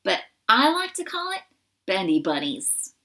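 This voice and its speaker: A woman's voice: medium pitch, wildly swooping pitch, unhurried, bright, forceful tone, exaggerated voice. A woman voicing a brash, straight-talking female rabbit trainer with an American drawl, bossing her elderly leader around. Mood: bossy. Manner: drawling, bossy.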